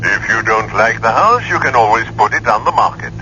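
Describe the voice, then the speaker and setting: A brisk, unsympathetic businessman talks with lively inflection in a radio play. The recording is dull and clearly noisy. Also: lowish voice. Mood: cool, dismissive. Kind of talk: radio drama acting.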